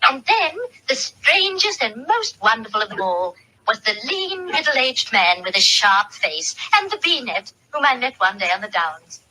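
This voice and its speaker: A woman's voice: a little high, big pitch swings, a little slow, pushed and bright, heavily acted, rough recording. An actress playing a composed woman telling her childhood memories in an old-time radio drama, with polished, wistful storytelling. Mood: reminiscent.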